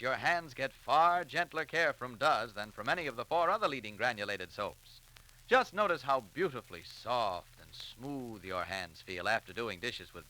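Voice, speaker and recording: Very bright, animated American radio announcer, man. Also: mid-range voice, poor audio. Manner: emphatic, chummy salesmanship. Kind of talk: 1940s radio announcing and sponsor pitch. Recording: vintage 1940s radio recording.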